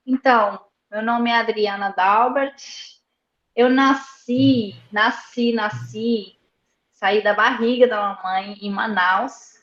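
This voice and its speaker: A woman who grew up in Argentina, telling stories as an interview guest in a lively way, laughing often and repeating words. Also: a little high, takes it slowly, echoey room, lo-fi sound. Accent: slight Argentine Spanish. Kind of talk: casual storytelling interview.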